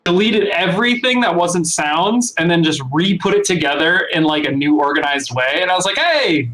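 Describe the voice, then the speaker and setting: Male producer for casual talk, slightly high and sharply bright, informal delivery. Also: animated, normal speed, low-quality recording. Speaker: music producer on a talk show. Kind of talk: casual chat.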